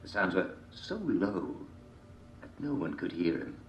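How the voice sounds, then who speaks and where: A man telling a dark fairy tale in a theatrical, deliberate manner, with repeated phrases and dramatic pauses. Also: deep voice, big pitch swings, a little hiss or hum. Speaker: storyteller reading a folk tale. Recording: dull, roomy, echoing home recording.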